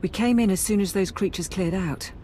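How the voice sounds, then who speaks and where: A woman playing a brisk, dry-witted Imperial military aide in a video game, businesslike and wry. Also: medium pitch, mellow, slight background noise, lightly acted. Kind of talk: video game briefing dialogue. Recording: game music behind the voice.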